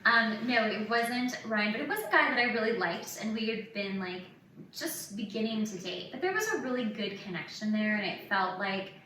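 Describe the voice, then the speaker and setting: A woman in her thirties hosting a casual podcast, telling candid personal stories in an animated way and acting out what people said. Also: medium pitch, normal speed. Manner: animated, acts out quoted remarks. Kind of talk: casual chat, candid anecdotes. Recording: echoey room, distant mic, faint noise.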